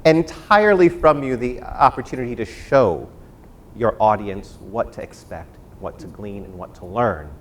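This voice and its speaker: Very animated man moderating a panel. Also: fairly low pitch, normal speed, a little hiss or hum. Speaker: moderator of a virtual panel discussion.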